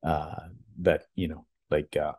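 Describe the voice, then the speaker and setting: A man from Argentina answering casually as a podcast guest, halting and thinking aloud as he goes. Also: very deep voice, flat delivery, a little mellow, slightly rough. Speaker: podcast guest, engineer and artist.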